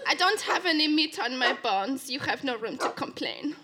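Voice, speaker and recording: A woman's voice: high voice, animated, moderate pace, crowd noise. A woman playing a combative young fairy-tale sister in improvised comedy, speaking with emphatic indignation. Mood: complaining. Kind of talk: improvised comedy storytelling acting.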